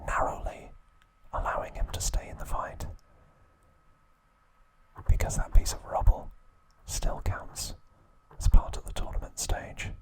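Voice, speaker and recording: Male ASMR narrator, unhurried whisper, soft and steady, relaxed asides. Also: rough recording. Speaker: ASMR creator.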